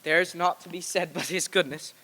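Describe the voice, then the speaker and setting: Guy playing humble sad dad, slightly high voice, radio play, big reverb. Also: fairly even intonation, moderate pace, pushed and bright, a little hiss or hum. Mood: tender, grateful. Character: humble, grieving father Bob Cratchit. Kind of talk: old-time radio play reading on stage. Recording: long echo of a hall.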